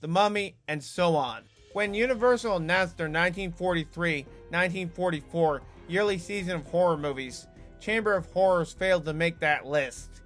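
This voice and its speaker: Male host for a scripted history essay, slightly high pitch, dry humorous asides. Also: normal speed, fairly bright tone, soft background music. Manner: wry, with joking asides to the viewer. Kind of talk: scripted video essay narration.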